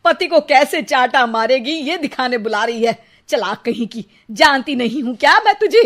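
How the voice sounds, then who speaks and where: A woman's voice: sits high, animated, normal speed, a bit bright. A scolding cartoon mother-in-law. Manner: scolding, sarcastic. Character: nagging, quarrelsome older mother-in-law. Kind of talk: cartoon voice acting.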